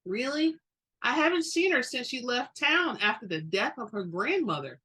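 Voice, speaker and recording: A woman voicing an excitable, earnest young man, a sweet great-grandson, in a story reading. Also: on the high side, moderate pace, breathy edge, voice pushed up, exaggerated voice. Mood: curious.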